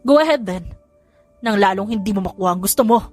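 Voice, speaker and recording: A woman's voice: medium pitch, animated, fast, faint music behind, close to an everyday voice. Defiant, furious betrayed wife played by a woman. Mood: angry defiant. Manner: emphatic, stubbornly defiant. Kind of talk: audiobook drama dialogue.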